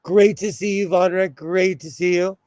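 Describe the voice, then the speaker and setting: A man hosting a casual sewing livestream, chatty and apologetic, laughing often, his voice a little hoarse. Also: high voice, steady tone, normal speed, darkish tone, no echo. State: slightly hoarse, losing his voice.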